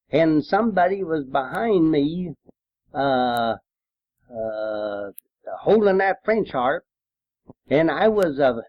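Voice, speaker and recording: Older Southern American man, high-pitched and slow. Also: no room sound, lo-fi sound. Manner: folksy, rambling, unhurried. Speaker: World War II veteran telling memories. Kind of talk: informal oral-history storytelling.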